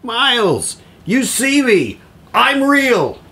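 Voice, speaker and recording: A middle-aged father in a comedic audio drama. His husky voice swings widely in pitch. He comes across as sardonic, frazzled and exasperated. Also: huge pitch swings, very slow, pushed and bright, exaggerated voice. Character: sarcastic, frazzled middle-aged divorced dad.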